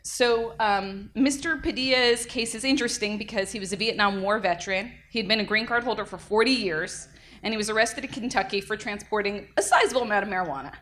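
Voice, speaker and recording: A young adult woman, a defense attorney, giving an energetic conference lecture into a microphone. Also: medium pitch, a little fast. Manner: energetic, lively, self-described loud. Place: conference room. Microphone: handheld or podium mic.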